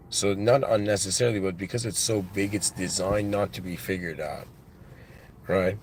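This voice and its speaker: A man with an accent in English, giving a reflective spiritual monologue as a channel host, halting and musing, with restarts and devout phrases. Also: sits low, a little hiss or hum. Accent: non-native English.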